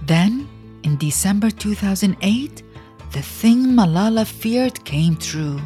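Slow, highly expressive woman storyteller. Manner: expressive, deliberate phrasing with dramatic pauses. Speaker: podcast host and storyteller. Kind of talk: scripted storytelling narration for children.

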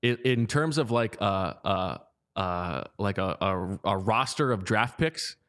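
A man's voice: on the low side, animated, slow, clean tone. A man co-hosting a sports podcast, talking conversationally with plenty of fillers and pushing back on his co-host. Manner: conversational, lots of fillers, sharp pushback. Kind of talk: casual sports chat.

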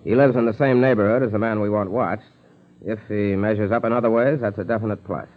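Through a tinny 1940s radio recording comes an actor as an American FBI agent, low in pitch. He is watchful and businesslike, in the style of an old radio play. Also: fairly even intonation, fast talker, lightly acted.

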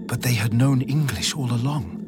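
An actor playing a vengeful artificial intelligence in a vast spaceship, narrating an audio drama in a brooding, deliberate, intense way. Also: male voice, medium pitch, music in the background, close to an everyday voice. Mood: bitter rage.

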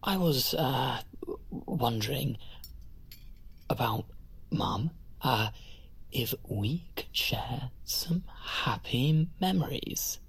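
An actress playing an awkward, earnest middle-aged English aunt, halting and breathy, in a naturalistic audio drama. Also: female voice, expressive, full character voice. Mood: hesitant, earnest. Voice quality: very low, husky, breathy.

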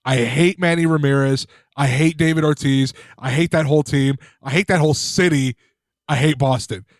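A man's voice: on the high side, fairly even intonation, normal speed, no room sound, studio quality. A young man in a casual sports chat, bluntly listing teams he hates.

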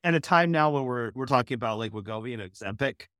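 A man's voice: medium pitch, expressive, fast, fairly bright tone, no echo, clean studio sound. A man talking casually and comedically as a podcast guest, with a wry, sarcastic manner.

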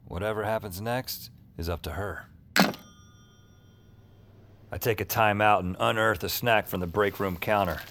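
An actor playing a wry, world-weary hotline worker, delivering slangy deadpan lines and narration in an audio drama. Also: male voice, fairly low pitch, measured pace, long pauses. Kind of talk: audio drama dialogue and first-person narration. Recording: noisy ambience behind the voice.

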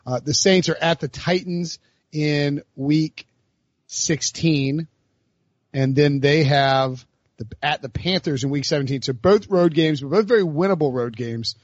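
A man's voice: on the high side, a little slow, no room sound, highs cut off. Laid-back man hosting a sports podcast. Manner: loose, laughing, filler-heavy. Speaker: podcast host. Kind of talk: casual sports chat.

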